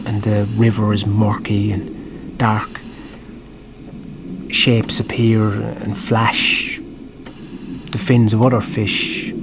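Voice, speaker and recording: A man's voice: lowish voice, noisy background. An actor playing a reflective screenwriter who narrates his script in an earnest, confessional first person for an audio drama.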